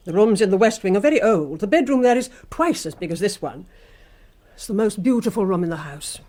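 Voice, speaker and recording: A woman acting an older housekeeper in a radio drama, with an English accent and a measured, icily polite manner. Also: on the low side, dark tone, natural voice. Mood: quietly proud. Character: gaunt, severe older housekeeper, coldly formal and deferential.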